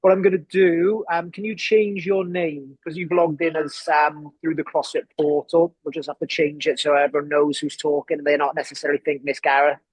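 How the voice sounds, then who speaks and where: A man with a somewhat high voice hosts a webinar in a casual, filler-heavy way, sounding friendly and informal. The audio is dry and close from a computer mic on a video call. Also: level tone, brisk, few pauses, husky, dry recording, poor audio. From southern England near Wales. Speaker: webinar host. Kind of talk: casual hosting, introducing a guest.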